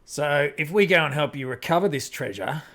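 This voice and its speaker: Guy acting a practical starship skipper, bit high pitched, roguish n plain talking, sci-fi radio play. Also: animated, fairly quick, a bit bright. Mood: businesslike. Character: pragmatic male spaceship captain, roguish and decisive.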